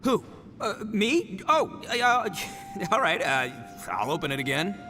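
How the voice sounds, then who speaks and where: A man's voice: high voice, big pitch swings, slow pace, over music, heavily acted. A man playing a boisterous, boastful young oni gang boss in a video game, brash, theatrical and easily rattled. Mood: nervous.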